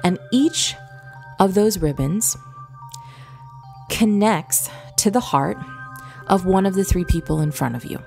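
A woman leading a guided visualization meditation in a gentle, soothing, instructive manner with long pauses. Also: medium pitch, gravelly. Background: background music.

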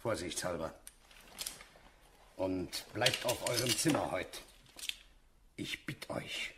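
A man's voice: deep voice, animated, slow, very noisy. A man in a German radio drama playing a commanding, placating officer. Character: commanding, placating male officer calming a quarrel.

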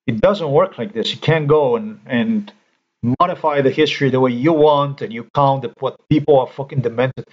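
Man, mid-pitched, angry halting rant, dull home-recorded sound. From Slovenia. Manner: indignant, halting, swears. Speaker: commentator. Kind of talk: ranting screen-recorded commentary.

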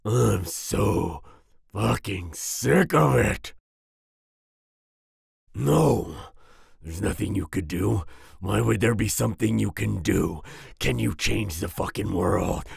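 A man playing a volatile but soft-hearted werewolf boyfriend in an ASMR roleplay, growling and raspy, with sighs and groans. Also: lowish voice, no echo, studio quality, full character voice. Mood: furious. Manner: snarling outbursts, sighs and groans, slow brooding delivery. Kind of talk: ASMR roleplay audio drama.

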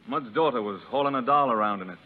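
A man's voice: mid-range voice, fast, lightly acted. A man playing a folksy, shrewd army sergeant in 1930s radio drama, with colloquial phrasing. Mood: dutiful. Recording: narrow 1930s radio recording, long reverb.

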